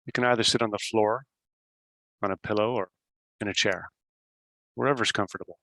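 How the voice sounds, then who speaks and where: A man leading a guided meditation as host, giving simple instructions in short phrases with long pauses. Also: fairly low pitch, pushed and bright, no room sound.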